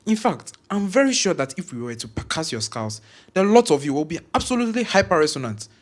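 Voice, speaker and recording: An actor with a Ghanaian accent playing an arrogant senior medical professor who scolds in grand, wordy language. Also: male voice, a little high, very animated, fast talker. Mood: scornful, exasperated. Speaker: voice actor in an audio drama. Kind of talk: scripted audio drama acting.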